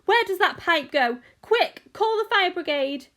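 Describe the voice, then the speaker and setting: A woman's voice: sits high, animated, normal speed, voice pushed up. A woman voicing a frantic, indignant mother in a children's storybook, screaming and scolding in outrage. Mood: panicked screaming. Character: frantic, shrieking, indignant mother of a greedy boy.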